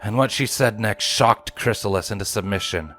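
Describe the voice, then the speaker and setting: A man's voice: lowish voice, normal speed, fairly bright tone, faint music behind. A man reading a scripted story aloud as its narrator. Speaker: fan fiction reader.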